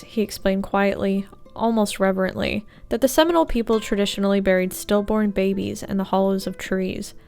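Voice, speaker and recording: A woman with a congested, stuffy nose tells spooky tales in a calm, measured way. Her voice has a gravelly, creaky edge, and soft guitar music plays faintly behind her. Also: medium pitch. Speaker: gaming channel host. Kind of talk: reading horror stories aloud while gaming.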